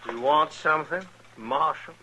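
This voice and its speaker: A man's voice: on the high side, unhurried, background noise, natural voice. An actor playing an insolent gunman who mocks the lawman with a drawl, in a 1950s radio western. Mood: mocking. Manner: mocking, drawling. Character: sly insolent male gunman. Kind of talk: 1950s radio drama acting.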